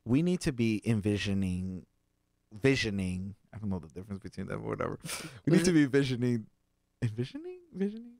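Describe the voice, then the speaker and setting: Brazilian-accented young man, low voice. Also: sing-song, a little fast, creaky, darkish tone, no room sound. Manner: laughs easily, rambling with restarts and fillers. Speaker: guest organizer. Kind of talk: casual interview chat.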